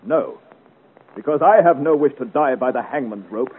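An actor playing a bold, chivalrous young squire with defiant, declamatory speech in a 1950 radio serial. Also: male voice, medium pitch, animated, moderate pace, slightly rough. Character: bold, gallant young squire hero of great strength. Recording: noisy, tinny old 1950 radio recording.

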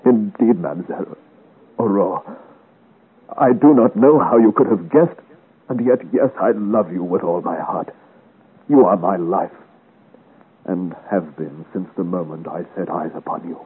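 A man's voice: deep voice, unhurried, long pauses, breathy edge, phone-quality sound, exaggerated voice. An actor playing an ardent, gallant young French swordsman in a theatrical 1950s radio adventure serial, with courtly, declamatory delivery. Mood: tender, overwhelmed. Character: gallant, ardent young swashbuckling hero of 17th-century France. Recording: thin, noisy old 1950s radio recording.

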